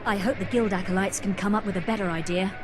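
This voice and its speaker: A woman's voice: mid-range voice, fairly even intonation, fast talker, background noise, lightly acted. A woman playing a wry, self-assured adventurer who advises a hero in a dry, matter-of-fact way. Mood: grave concern.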